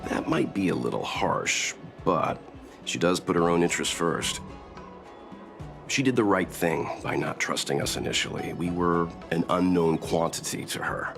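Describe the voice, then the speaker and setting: A man playing a calm, prudent middle-aged mentor in a video game, speaking in a measured, reasoned and reassuring way. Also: deep voice, vocal fry. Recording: music behind the voice.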